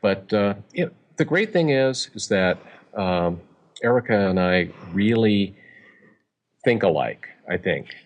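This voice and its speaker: Male guest, middle-aged, very low pitch, loose rambling storyteller. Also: lively intonation, measured pace. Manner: rambling, many fillers. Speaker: guest author. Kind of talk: casual interview chat.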